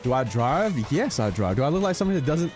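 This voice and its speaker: A man's voice: fairly high pitch, very animated, fast, darkish tone. A man teasing his friend in profane, casual banter while they play a game on stream. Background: game music.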